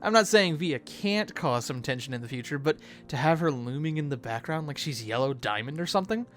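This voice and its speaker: A video host giving casual, expressive conversational commentary. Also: mid-range voice, fairly quick, soft background music.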